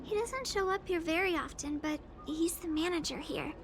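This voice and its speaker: A woman's voice: very high voice, normal speed, background noise. A woman voicing a sweet, earnest and apologetic young shop clerk in a video game.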